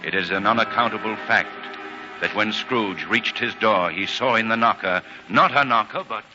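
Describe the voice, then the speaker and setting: A man narrating a scripted story in a measured 1940s radio style. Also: lowish voice. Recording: muffled old radio broadcast, loud music.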